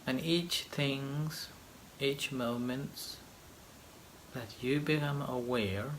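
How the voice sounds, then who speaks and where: Slow-spoken male meditation teacher. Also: medium pitch, fairly bright tone, a little hiss or hum, rough recording. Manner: halting short phrases with long pauses. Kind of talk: guided meditation, slow gentle instruction.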